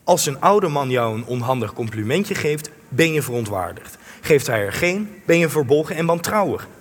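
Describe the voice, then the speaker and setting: Radio play: earnest, defensive male researcher, husky voice, reverberant sound. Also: fairly high pitch, sing-song, normal speed. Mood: irritated, defensive. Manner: earnest, argumentative. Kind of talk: Dutch radio play acting. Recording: long reverb, music underneath.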